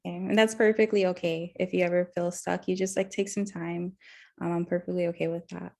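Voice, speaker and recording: A woman's voice: on the low side, little pitch movement, a little fast, gravelly, mellow. Hesitant young woman counselor. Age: 20s. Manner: hesitant, heavy on fillers and restarts. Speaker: counselor in a practice session. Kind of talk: mock counseling intake conversation.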